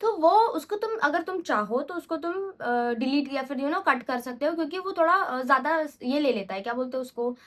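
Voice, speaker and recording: High-voiced chatty young woman vlogger. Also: expressive, normal speed, hardly any pauses. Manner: rambling, chatty, mixes English into Hindi, self-correcting. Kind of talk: casual unscripted vlog talk.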